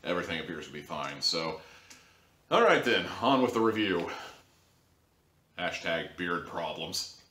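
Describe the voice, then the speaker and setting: A low-pitched man with a bright, forceful edge to his voice, reviewing an audio drama in a rambling, sarcastic monologue. His pitch jumps suddenly for emphasis. He sounds like he is recording in an echoey room. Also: big pitch swings, unhurried, pauses often, a little hiss or hum.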